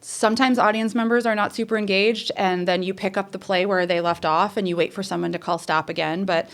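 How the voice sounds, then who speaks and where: Woman guest, lower-toned, chatty storyteller, loose and full of fillers. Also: a little fast. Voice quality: says she has a lower voice. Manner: lively, chatty, many fillers and restarts. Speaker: theater professor, guest. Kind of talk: casual interview storytelling.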